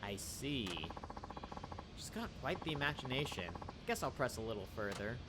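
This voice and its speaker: A man's voice: mid-range voice, sing-song, moderate pace, very noisy, lightly acted. A man reading aloud the lines of a stoic middle-aged male ex-yakuza taxi driver, with dry, wry asides, over game music.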